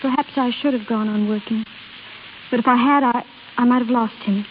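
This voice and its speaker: An actress playing an anxious, earnest young wife in vintage radio drama, plaintive and hesitant. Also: female voice, on the high side, fairly even intonation, a little fast, natural voice. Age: young adult. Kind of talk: 1930s-40s radio drama acting. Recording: tinny, hissy old radio recording.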